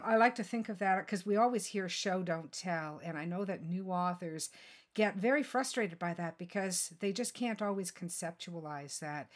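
A woman podcast host talking in a chatty, encouraging, conversational interview style. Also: medium pitch.

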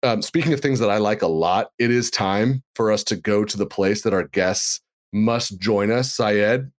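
A man's voice: lowish voice, moderate pace. A man hosting a podcast, chatting enthusiastically and playfully, with rambling asides. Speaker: podcast host. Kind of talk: casual chat.

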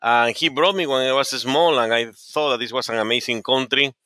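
Earnest Peruvian-accented man, bright smooth voice. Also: medium pitch, dry recording, clean studio sound. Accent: Peruvian Spanish. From Peru. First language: Spanish. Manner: earnest, halting with frequent fillers and restarts. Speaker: oncologist guest. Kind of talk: podcast interview.

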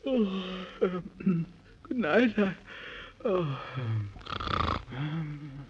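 High, slow, drowsy creature. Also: male voice, expressive, background noise, heavily acted. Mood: sleepy. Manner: halting, trailing off. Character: drowsy, polite, muddled creature curling up to sleep. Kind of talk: 1930s children's radio serial acting. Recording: dull vintage 1930s radio recording.

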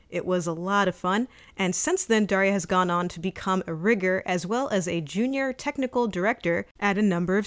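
Upbeat woman hosting a podcast. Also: mid-range voice. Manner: upbeat, friendly scripted intro. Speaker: podcast host.

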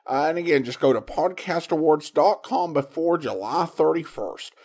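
A man's voice: fairly high pitch, lively intonation, moderate pace, darkish tone, slightly rough. An American man hosting a podcast, reading a scripted show introduction. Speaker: podcast host. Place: recorded in Boise, Idaho.

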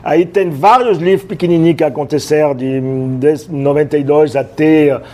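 A man in his sixties from France, a chef speaking Portuguese with a French accent, telling stories in a relaxed interview with many fillers. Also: a little high, normal speed. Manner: storytelling, hesitant with many fillers, laughs easily. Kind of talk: casual interview talk.

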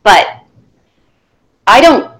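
A woman's voice: very slow, a bit bright. A middle-aged woman, a teacher being interviewed, talking in a casual, firm and self-assured way with a strong voice and easy laughs.

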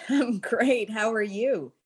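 A woman in her forties from Boston, a guest on an interview podcast, talking earnestly and with enthusiasm in long run-on stretches and quick restarts. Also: fairly high pitch, darkish tone, husky. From Boston, USA. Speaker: guest, nonprofit crime-prevention leader.

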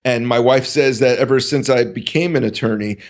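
A man speaking as a podcast guest, talking in a deliberate, halting way with many pauses and restarts. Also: medium pitch. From United States. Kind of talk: casual conversational interview.

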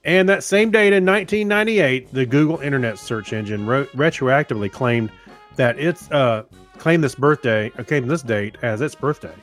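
A man's voice: medium pitch, moderate pace, a bit bright, soft background music. A man co-hosting a podcast, trading easygoing casual banter and reading history items aloud. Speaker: podcast co-host.

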